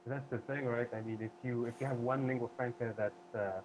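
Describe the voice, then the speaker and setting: Male interview host, halting questioner, clear background noise on the recording. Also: medium pitch, fairly even intonation. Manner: halting, searching for words. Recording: noisy recording.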